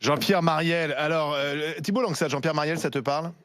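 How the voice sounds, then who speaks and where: A man's voice: fairly high pitch, fairly even intonation, a little fast, fairly bright tone, no echo. A man presenting live as a talk radio host, steering a panel discussion.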